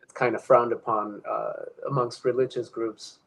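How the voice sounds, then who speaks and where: A man's voice: medium pitch, flat delivery, moderate pace, vocal fry, fairly bright tone. Reflective man from Ecuador, many fillers. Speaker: guest. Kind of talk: casual conversation, personal story.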